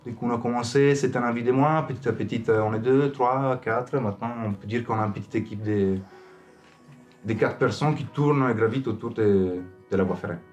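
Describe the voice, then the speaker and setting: A man's voice: medium pitch, a little fast. Man over music. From abroad, outside France. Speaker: circus acrobat, company founder. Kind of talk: informal interview about own work.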